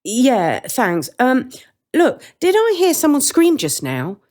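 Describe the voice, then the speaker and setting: A woman reader plays a young fairy-tale boy with a raised, lively pitch. He is naive and blunt, blurting out curious remarks casually. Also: takes it slowly, exaggerated voice.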